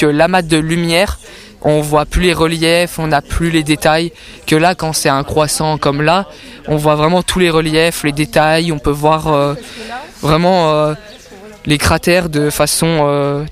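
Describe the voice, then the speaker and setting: A man explaining at length in a radio interview, hesitant, with many fillers and restarts. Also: a little high. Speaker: amateur astronomer interviewee. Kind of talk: casual interview.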